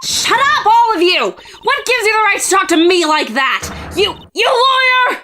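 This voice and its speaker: A woman's voice: sing-song, a little slow, pushed and bright, exaggerated voice. A woman playing a giggly, ditzy young witness. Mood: shrill angry. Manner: giggly, cutesy, then shrill and whiny. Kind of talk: fan dub of game dialogue. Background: game music.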